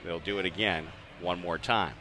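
A man's voice: deep voice, steady tone, moderate pace. A man with an American accent calling live ice hockey play-by-play from an arena in a conversational, chatty way. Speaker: play-by-play sports announcer. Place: rink-side in an indoor ice arena. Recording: clear arena ambience noise behind the voice.

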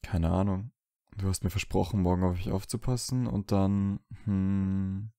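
Male actor as troubled, wry young wizard for audio drama. Very low, even delivery, dry close sound. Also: a little slow, dry recording. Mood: dry teasing. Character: troubled young wizard, dry and teasing, trying to quit drinking. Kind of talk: fan fiction audio drama dialogue.

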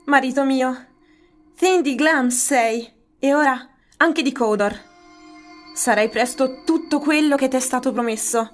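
A woman's voice: high voice, measured pace, soft background music. An actress playing an ambitious, ruthless noblewoman in a Shakespearean radio drama, solemn and declamatory. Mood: scheming, intense.

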